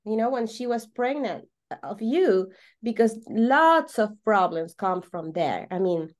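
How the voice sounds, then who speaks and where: A woman from Venezuela with a Venezuelan Spanish accent explains things as an interview guest, repeating words for emphasis in halting English. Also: on the high side, animated. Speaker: podcast guest, holistic coach.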